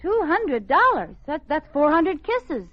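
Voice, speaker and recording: An actress playing a scatterbrained, wisecracking housewife in an old radio sitcom, with theatrical timing and flustered stammers. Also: female voice, high-pitched, very animated, measured pace. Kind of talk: 1950s radio sitcom acting before a live audience. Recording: muffled old radio recording with studio audience.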